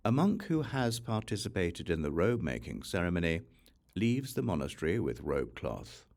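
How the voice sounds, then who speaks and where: Male audiobook reader, low voice, widely moving intonation, measured scripture recitation, short phrases. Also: big pitch swings, gravelly, a little mellow.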